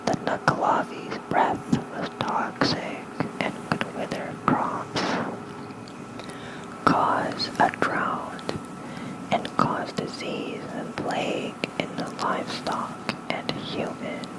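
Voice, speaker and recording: Soft, slow ASMR whisperer. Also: low-quality recording. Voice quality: soft breathy unvoiced whisper. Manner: slow, gentle, unhurried. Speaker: ASMR creator reading lore. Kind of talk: ASMR whispered reading with casual asides. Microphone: close ASMR mic with fluffy cover.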